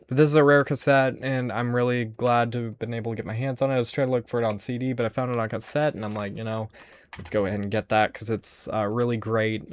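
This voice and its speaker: A man's voice: mid-range voice, slight background noise. A man hosting a casual, unscripted show-and-tell of his collection, rambling and musing aloud as he goes. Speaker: host showing a music cassette collection.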